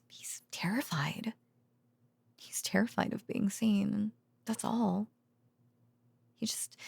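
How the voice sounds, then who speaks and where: A woman playing a tender, worn-out researcher girlfriend in an intimate bedtime audio roleplay, speaking haltingly and drifting into whispers. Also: medium pitch, creaky, breathy edge, no echo, exaggerated voice.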